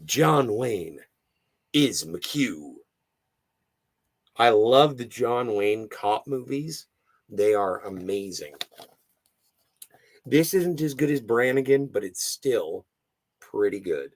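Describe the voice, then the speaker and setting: Hoarse, gravelly man chatting on a livestream. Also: on the low side, lively intonation, takes it slowly, pauses often. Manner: rambling, digressive, easily sidetracked. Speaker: livestream host. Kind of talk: casual livestream chat, reading out viewer comments.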